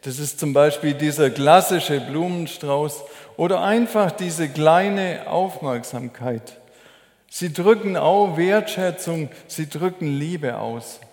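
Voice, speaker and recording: A man preaching a sermon as a preacher, in a measured, deliberate, explanatory way. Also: fairly high pitch, a little mellow. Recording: echoey hall with long reverb.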